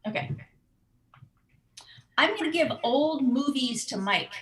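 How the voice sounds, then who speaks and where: A woman with a slightly high, fairly bright voice plays along as a quiz contestant. Her talk is casual, playful banter. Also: expressive, takes it slowly, a little hiss or hum. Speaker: game show contestant.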